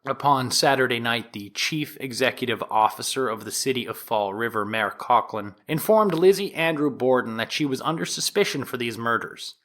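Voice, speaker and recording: A man playing a formal, deliberate 1890s prosecutor addressing a jury in a courtroom drama, in measured oratory with emphatic stresses. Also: mid-range voice, a bit bright, natural voice. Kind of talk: dramatized courtroom opening statement.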